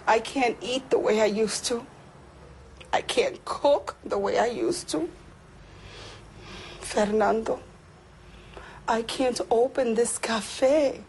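An actress playing an older woman from the Dominican Republic, a cafe owner upset about her diagnosis, speaking slowly and emotionally. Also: on the high side, darkish tone, a little hiss or hum, poor audio. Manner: slow, emotional, despairing. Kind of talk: scripted educational drama.

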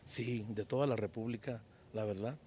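A man's voice: on the low side, moderate pace, mellow, breathy edge. A Mexican man in his fifties, a local singer answering questions in a casual street interview in a town plaza, humble and hesitant, often repeating words, speaking into a handheld mic. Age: 50s. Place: town plaza outdoors at dawn. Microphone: handheld mic held by interviewer.

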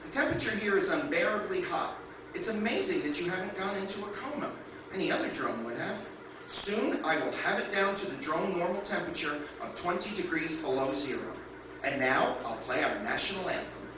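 A man playing a polite but relentless robot ship that tends its passengers, in a formal, measured way, in a live stage recreation of an old-time radio drama. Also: sits high, noisy background. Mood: calm, formal. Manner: formal, measured, calmly menacing. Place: hotel function room stage with audience. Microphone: stand mic, far camera. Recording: echoey hall from far off, dull.

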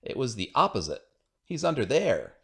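A man's voice: medium pitch, huge pitch swings, normal speed, creaky, a little hiss or hum. A man voicing an earnest, clever young schoolboy from a children's storybook, speaking emphatically. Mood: protesting.

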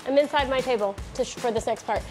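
High-voiced woman chatting through a build. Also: fast, over music. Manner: chatty, self-correcting, laughs often. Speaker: DIY woodworking host. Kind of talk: casual build tutorial. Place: home garage workshop.

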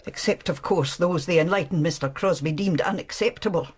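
An actor playing a bitter, indignant defender of the slum poor in a radio drama, formal and sardonic. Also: male voice, fairly high pitch, a little fast, breathy edge. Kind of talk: BBC radio drama acting.

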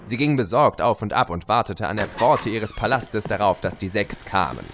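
A man playing a gloating, mischievous spirit of chaos who tells his tale in a theatrical, mocking, drawn-out way for an audio drama. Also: fairly low pitch, lively intonation, over music. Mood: smug storytelling. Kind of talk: German audio drama narration and character acting.

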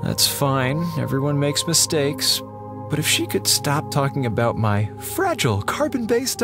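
An actor playing a sarcastic, theatrical slacker communications officer on a space station in a radio drama. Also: male voice, mid-range voice, fairly bright tone. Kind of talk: radio drama monologue as a log.

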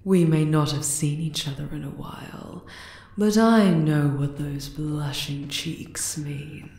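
Actress as monster queen, fantasy ASMR: low, very slow, majestic yet affectionate, roomy sound. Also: mellow. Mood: teasing. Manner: regal, tender, deliberate. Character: regal, affectionate, teasing spider monster queen. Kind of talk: ASMR roleplay acting. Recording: roomy echo on the voice.

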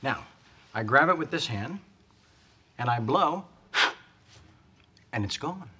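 Laid-back sitcom magician, noisy clip. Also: male voice, mid-range voice, lively intonation, slow. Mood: casual, playful. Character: laid-back man showing a boy a coin trick. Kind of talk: television sitcom. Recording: TV clip with clear background noise.

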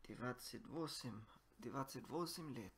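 A young man with Japanese as his first language practicing phrases, halting and repeating lines after a translation app. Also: mid-range voice, a bit bright, breathy edge. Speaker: language learner. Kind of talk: self-recorded speaking practice.

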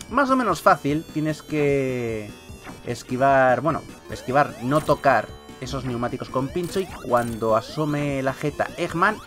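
Male gaming host, Peninsular Spanish accent, medium pitch, chatty and playful with light swearing, casual commentary over prominent game music. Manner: chatty, joking, mildly sweary. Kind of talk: casual Let's Play commentary. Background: video game music.

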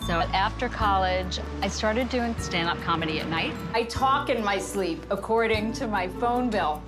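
A woman in her forties doing a stand-up set on a theater stage into a handheld mic, delivering deadpan self-deprecating one-liners. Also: mid-range voice, moderate pace, over loud music. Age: 40s. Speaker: stand-up comedian. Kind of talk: stand-up set and backstage interview.

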